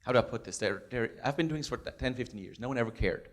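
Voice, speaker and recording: Brief: hoarse male academic, rapid and eager, handheld mic in a lecture room. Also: medium pitch. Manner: fast, enthusiastic, many restarts. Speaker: psychology professor. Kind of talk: panel discussion, audience member. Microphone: handheld microphone.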